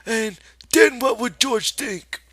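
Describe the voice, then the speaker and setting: A man voicing a giant imaginary rabbit that sneers and scolds in a high, harsh voice. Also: takes it slowly, bright, forceful tone, husky, dry recording, clean studio sound, full character voice. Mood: scornful. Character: giant scornful imaginary rabbit, male, taunting.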